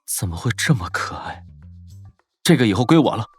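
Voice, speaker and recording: Actor as a cool young male student, teasing, animated, husky, audio drama, faint music. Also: fairly low pitch, fairly quick, full character voice. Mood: playful, teasing.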